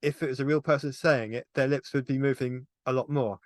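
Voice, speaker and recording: A man's voice: medium pitch, fairly even intonation, brisk, a little mellow, no echo, clean studio sound. A man in a casual panel discussion, explaining things in a tentative way. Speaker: film review panelist and animation hobbyist.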